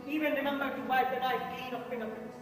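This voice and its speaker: A man's voice: extremely high pitch, steady tone, quick pace, faint music behind. A young man playing a methodical, insistent juror in a stage drama, laying out his case point by point. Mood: insistent, certain. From India. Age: young adult. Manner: emphatic, point-by-point recital. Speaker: stage actor in a college play. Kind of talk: theatrical courtroom-drama dialogue. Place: theatre stage before an audience. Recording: echoey stage hall, distant microphone.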